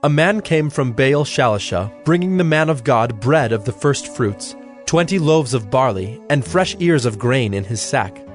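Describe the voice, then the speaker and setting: A man reading scripted Bible narration in a measured, solemn manner. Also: medium pitch, animated, faint music behind.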